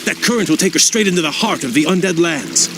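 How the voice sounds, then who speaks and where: A man's voice: on the high side, animated, quick pace, creaky, over loud music. A man playing an urgent, concerned elven prince in a fantasy game, speaking formally. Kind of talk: fantasy game cutscene acting.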